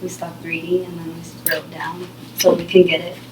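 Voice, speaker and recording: A student answering in a small reading group, with short, hesitant replies full of fillers. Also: female voice, fairly low pitch, little pitch movement, normal speed, background noise. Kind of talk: classroom discussion. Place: classroom. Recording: echoey room, far from the mic.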